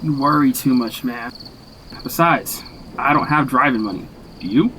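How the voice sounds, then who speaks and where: A man's voice: medium pitch, normal speed, sharp tone, slight background noise, natural voice, poor audio. Man acting a cocky young stoner dude. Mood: cocky, eager. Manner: brash, teasing, slangy. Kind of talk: audio drama dialogue.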